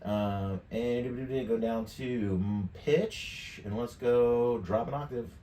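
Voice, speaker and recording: Slow-paced man in his fifties, jokey, profane, casual tutorial talk, heard at a distance from the mic. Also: mid-range voice, dark tone. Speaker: tutorial presenter. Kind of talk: casual off-the-cuff software demonstration. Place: indoor home studio at a desk. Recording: roomy, distant mic, clear background noise.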